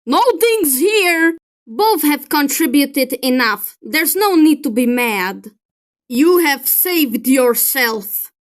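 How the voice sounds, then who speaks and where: A woman's voice: high-pitched, expressive, slow. A woman giving opinionated commentary in an exasperated, emphatic manner. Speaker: video creator. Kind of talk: commentary reacting to a film critique.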